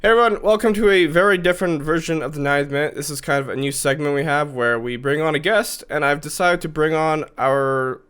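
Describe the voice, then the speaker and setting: A man co-hosting a casual football podcast, welcoming and interviewing a guest in a relaxed, friendly way. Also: fairly high pitch, fairly quick, few pauses, clean tone. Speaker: co-host and interviewer.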